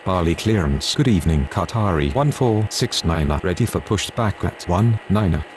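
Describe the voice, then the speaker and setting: Low-pitched man on aviation radio calls. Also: expressive, moderate pace, darkish tone, slight background noise. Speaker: pilot and air traffic controller radio voice.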